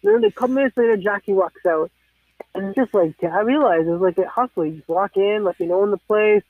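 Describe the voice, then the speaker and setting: A man's voice: high-pitched, expressive, fast, dry recording. A man in his thirties telling rambling, profane anecdotes in casual chat. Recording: tinny phone-like sound.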